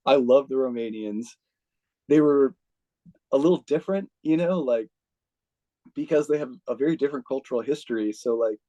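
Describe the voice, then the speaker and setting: An American man, a retired army officer, telling stories as an interview guest in a chatty, laid-back way, full of "like" and quick laughs. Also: mid-range voice, mellow. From United States. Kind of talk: casual storytelling.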